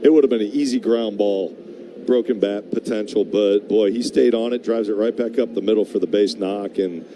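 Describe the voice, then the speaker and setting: A man's voice: on the low side, fairly even intonation, moderate pace, noisy background. An American man giving chatty, emphatic color commentary on a live baseball broadcast, in an informal conversational way. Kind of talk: conversational live sports analysis and banter.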